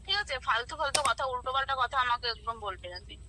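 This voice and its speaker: A woman's voice: fairly high pitch, lively intonation, fast, background noise. A woman on the phone in a heated informal argument, defending herself indignantly and repeating herself. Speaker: friend receiving a prank call.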